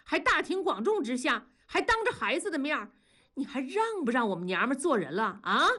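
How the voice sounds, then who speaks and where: A woman voicing a fierce, sharp-tongued wife in an audiobook, jealous and scornful, scolding and interrogating. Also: fairly high pitch, sing-song, brisk, husky, voice pushed up. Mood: accusing. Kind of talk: audiobook character dialogue.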